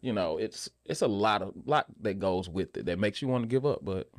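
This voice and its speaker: Man, low pitch, casual conversational delivery, interview guest. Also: animated, fairly quick. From Memphis, United States. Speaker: interview guest, actor and filmmaker.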